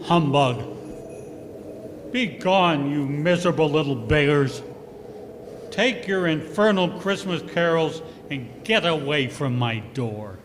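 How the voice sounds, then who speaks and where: An actor playing a cold, bitter old miser with scornful, barking theatrical delivery in a 1940s style radio play. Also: male voice, on the high side, expressive, slow, background noise. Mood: irritated, scornful. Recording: live performance in a reverberant hall.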